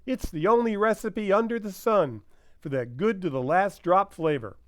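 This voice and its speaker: An old-time radio sponsor pitchman, acted by a man, earnest and persuasive. His voice is high and crisp, recorded dry, with emphatic salesman's patter. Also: expressive, moderate pace, dry recording. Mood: earnest selling.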